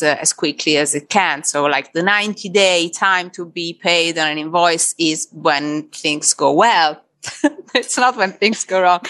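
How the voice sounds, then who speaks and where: A middle-aged woman from Italy speaks with a clear Italian accent. Her voice sits a little low, and she talks in a halting way, full of fillers and restarts, as a guest on a podcast. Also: fairly bright tone. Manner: hesitant, many "uh" fillers and restarts. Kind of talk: conversational interview.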